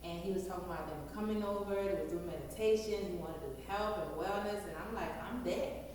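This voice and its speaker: A woman's voice: fairly low pitch, a little fast, darkish tone, very echoey, big-room sound, far from the mic, a little hiss or hum. A woman from Liberia, a guest chef answering interview questions with enthusiastic storytelling.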